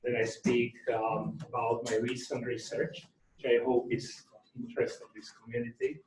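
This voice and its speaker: A man's voice: medium pitch, flat delivery, moderate pace, darkish tone, slight background noise. Distant, echoey middle-aged Slovak-accented man lecturing. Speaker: academic speaker, researcher. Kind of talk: informal conference talk with reminiscences. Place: indoor lecture room at a workshop. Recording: echoey room, distant microphone.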